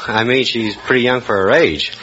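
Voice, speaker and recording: A man's voice: mid-range voice, normal speed, over loud music. A plain-spoken man giving short, unscripted answers as a quiz show contestant. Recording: old radio recording, muffled, very noisy.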